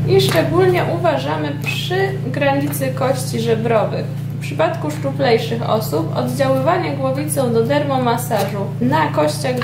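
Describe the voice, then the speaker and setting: High-pitched woman instructor in a noisy room. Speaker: beauty treatment instructor. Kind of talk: instructional demonstration narration. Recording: distant, echoey room with loud steady noise.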